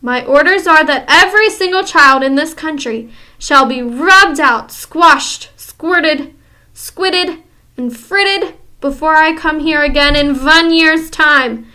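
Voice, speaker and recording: A woman's voice: high voice, slow pace, sharp tone, pitched above the performer's own voice, exaggerated voice. A woman voicing a tyrannical female witch leader who screams and rants her commands. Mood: furious. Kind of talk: audiobook character reading.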